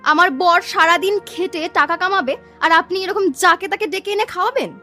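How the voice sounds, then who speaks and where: A woman's voice: very high-pitched, fairly quick, bright, forceful tone, soft background music. A woman voicing a mean, stingy daughter-in-law in a children's cartoon, with a sneering, sarcastic manner. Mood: scornful.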